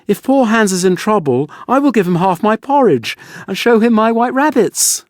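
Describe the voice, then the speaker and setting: An earnest, kind little storybook boy, voiced by a man, eager and innocent. The pitch is lifted well up and moves freely, in a dry recording. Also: expressive, moderate pace, no room sound.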